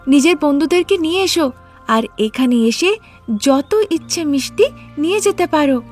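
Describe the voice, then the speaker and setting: Stingy young sweet-selling wife, cartoon, woman performer: high, dramatic, scolding, music underneath. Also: expressive, normal speed, a little mellow. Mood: eager, inviting. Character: stingy, scolding young daughter-in-law who sells sweets. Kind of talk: cartoon story character acting. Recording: music behind the voice.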